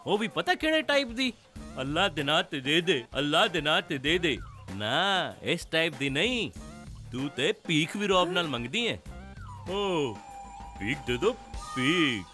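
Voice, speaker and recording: A man's voice: a little high, big pitch swings, takes it slowly, bright, forceful tone, soft background music, heavily acted. Swooping, sarcastic young Punjabi man. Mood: taunting. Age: young adult. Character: sarcastic young college man who taunts girls. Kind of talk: comedy film dialogue.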